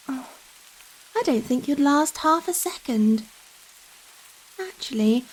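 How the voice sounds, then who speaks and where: Woman as a giant vampire lady, high voice, unhurried, coy, gently dominant, possessive, ASMR roleplay, rain behind. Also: exaggerated voice, poor audio. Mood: amused, teasing. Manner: slow, coy, coddling talk with pet names. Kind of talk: intimate ASMR roleplay acting. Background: steady rain.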